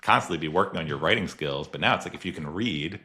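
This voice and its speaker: Super deep voiced guy hosting a podcast, relaxed rambly chat, lots of "like". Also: quick pace. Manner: easygoing, chatty, many "like" fillers, laughs often. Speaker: podcast host. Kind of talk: casual conversational interview.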